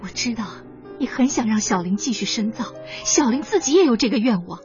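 A woman performs an ambitious, well-off mother in a radio drama. Her fairly high voice is earnest and insistent, with very animated intonation and pointed questions. Music plays behind her. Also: fairly quick, lo-fi sound, lightly acted. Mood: persuasive. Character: wealthy, ambitious ex-wife and mother, persuasive, pleading. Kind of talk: Chinese radio drama acting.

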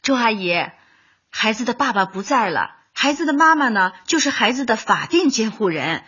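Young female community worker, fairly high, husky, earnest, dull-sounding radio drama. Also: big pitch swings, moderate pace, sharp tone, full character voice. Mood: reasoning. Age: young adult. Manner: earnest, reasoning.

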